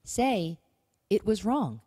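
A woman's voice: medium pitch, big pitch swings, takes it slowly, warm tone, husky, no echo, clean studio sound. A woman co-hosting a conversational podcast, speaking emphatically and acting out example phrases. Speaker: podcast co-host. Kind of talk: conversational talk on marriage advice.

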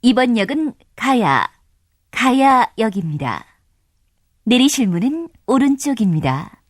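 Polite woman announcer for a transit system; bouncy, slightly high delivery, dry booth recording. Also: sing-song, no echo. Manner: polite, formal, measured. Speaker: subway announcer.

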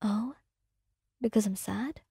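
A woman voicing a shy, shaken young woman, speaking in a soft, hesitant whisper. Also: medium pitch, takes it slowly, creaky, husky, dry recording, clean studio sound, full character voice. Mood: teasing.

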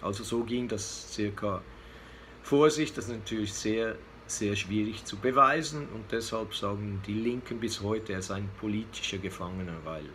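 A man's voice: fairly low pitch, moderate pace, sharp tone. A man in his fifties giving unscripted political commentary to camera, hesitant and searching for words. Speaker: vlogger giving a weekly news commentary. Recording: clear background noise, room ambience.

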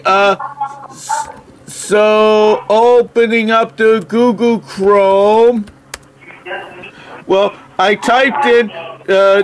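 Slow, deliberate high-pitched man. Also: noisy background. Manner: deliberate, halting, literal. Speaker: phone call recipient stringing a caller along. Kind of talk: slow phone conversation, spelling and reading aloud.

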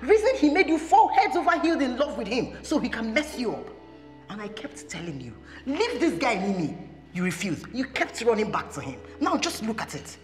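A young woman with a Nigerian accent acting a loyal friend who scolds and switches to loud Pidgin. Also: a little high, very animated, normal speed, faint music behind. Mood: scolding. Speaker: protective friend. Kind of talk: skit drama acting.

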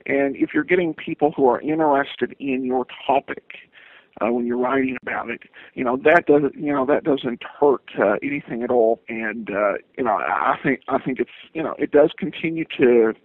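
An American man giving casual interview answers as a guest, in a halting, hesitant way. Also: medium pitch, gravelly, dry recording. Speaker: interview guest, podcaster and writer. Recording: thin phone-like line.

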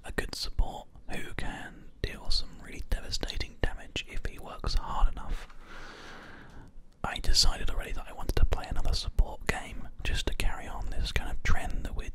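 Whispering man doing ASMR game commentary. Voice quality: unvoiced whisper. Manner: gentle, unhurried, measured. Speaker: host of an ASMR gaming show. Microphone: close binaural microphone, ear to ear.

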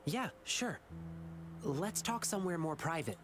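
A man voicing an earnest, boyish young lion dancer in a video game, telling his story in measured, wistful dialogue. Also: on the high side, animated, noisy background. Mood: eager, grateful. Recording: game music underneath.

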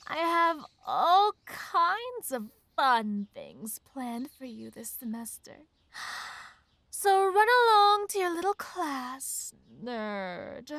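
Woman playing a slow-talking, teasing college bully girl. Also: high-pitched, a bit bright, no room sound, exaggerated voice. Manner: slow, taunting, breathy teasing delivery. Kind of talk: ASMR roleplay.

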